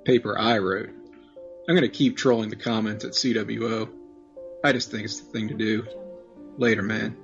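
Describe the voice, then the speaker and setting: A man's voice: on the low side, fairly even intonation, moderate pace, vocal fry, long pauses, fairly bright tone, highs cut off, faint music behind. A man playing an offhand young slacker in a comedy sketch, speaking casually. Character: offhand young slacker who trolls online.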